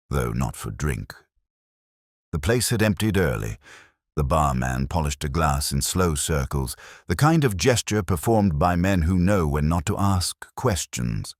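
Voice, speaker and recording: A man reading a scripted first-person detective story in a measured, brooding, literary manner. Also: sits low, dry recording, clean studio sound.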